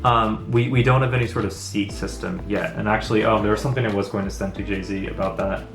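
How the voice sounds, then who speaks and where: A man's voice: fairly low pitch, fast talker, creaky, reverberant, music in the background. A young American man from the Bay Area talking casually to his viewers in a vlog. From United States (Bay Area). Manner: relaxed and chatty, talking straight to viewers. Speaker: vlogger and startup founder. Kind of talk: casual vlog talk to camera.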